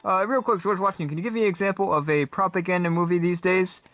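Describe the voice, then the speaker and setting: A man puts on a peppy TV debate moderator for a comedy sketch. The voice rides high and bright, pushed forward with bombastic showmanship. Faint music plays behind it. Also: steady tone, fast talker. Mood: upbeat, showy. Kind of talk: game-show style hosting.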